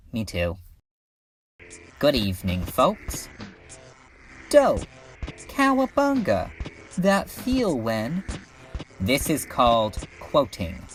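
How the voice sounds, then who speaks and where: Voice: mid-range voice, a bit bright. A woman voicing a laid-back cartoon cat creature in a comedic dub, with a casual, slangy manner. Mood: mock newscaster.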